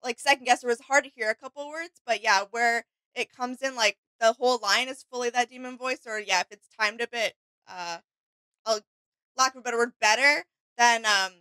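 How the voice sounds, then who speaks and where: A woman's voice: a little high, normal speed, bright, forceful tone, dry recording, poor audio. A young woman chatting casually as a reaction co-host, agreeable and a little tentative in her phrasing. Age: young adult. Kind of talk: casual chat commentary. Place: small home studio room.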